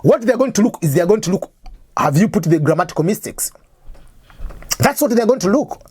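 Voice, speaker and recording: An East African woman with a Swahili first language talks through English exam tips in an informal lecture. She circles back and restates each point with everyday examples. Her voice sits at an ordinary middle pitch, and the recording is dry and close. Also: expressive, brisk, darkish tone, slightly rough, no echo. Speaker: English test-prep teacher.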